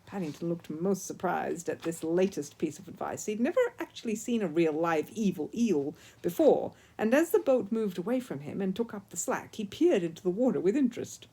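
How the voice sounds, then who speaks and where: A woman reading a children's story aloud as an expressive narrator. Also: lowish voice, normal speed, few pauses, slightly rough. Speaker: storyteller, audiobook reader.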